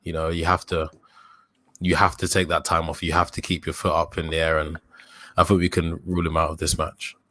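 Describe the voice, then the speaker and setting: A young man in his early twenties, a podcast host, calmly building his case in a casual football chat. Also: deep voice, brisk. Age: young adult. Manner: thoughtful, builds an argument with hesitations.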